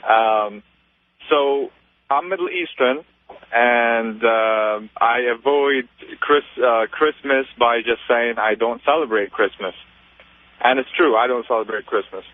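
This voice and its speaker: A man in his twenties calling a radio show, telling a story casually and a little haltingly. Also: mid-range voice, no echo. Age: 20s. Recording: tinny narrow phone line.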